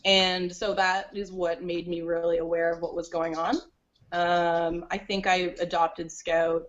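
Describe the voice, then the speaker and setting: A woman chats as a podcast guest, her words tumbling out quickly and sprinkled with "like." Her voice sits fairly low, and the call audio sounds muffled and lo-fi. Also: level tone. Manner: quick, chatty, peppered with "like". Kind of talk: casual interview chat.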